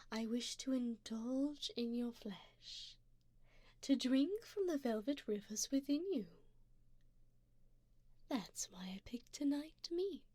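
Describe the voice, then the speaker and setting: Slow, whispery seductive vampire lady voiced by a woman. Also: on the high side, darkish tone, no room sound. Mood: seductive, hungry. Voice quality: breathy, often dropping into whispers. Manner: slow, intimate, formal and coaxing. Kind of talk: ASMR roleplay. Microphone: close binaural microphone.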